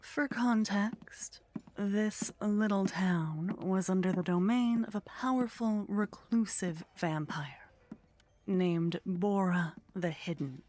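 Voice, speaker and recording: A woman playing a haughty, flirtatious vampire in an intimate ASMR roleplay, speaking softly and close to the microphone with a teasing, condescending manner that often drops toward a whisper. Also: medium pitch, takes it slowly, dry recording, close to an everyday voice. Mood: businesslike, wry. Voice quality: soft, breathy.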